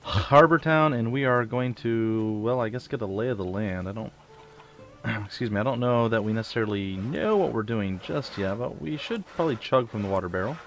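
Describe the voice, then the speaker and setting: A man's voice: mid-range voice, brisk. A man with a stuffy, sniffly nose hosting a casual game let's play, rambling with joking asides. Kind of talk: casual gameplay commentary.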